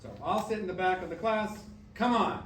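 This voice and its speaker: A distant, roomy stage recording of a man acting as a wry old grandfather. His voice is high for a man, and he scolds in a folksy, blunt way, protective underneath. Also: fairly quick, a bit bright, slight background noise. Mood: wry, firm. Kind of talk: staged reading of a musical. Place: echoey room, staged reading. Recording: distant echoey stage mic, piano behind.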